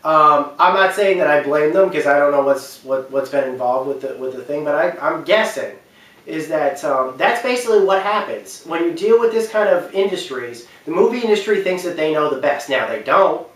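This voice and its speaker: A man's voice: on the high side, normal speed, fairly bright tone. A man giving an unscripted opinion talk as a commentator, speaking emphatically and repeating phrases for stress. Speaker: video commentator. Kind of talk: unscripted opinion talk about film and games. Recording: very echoey room, distant mic.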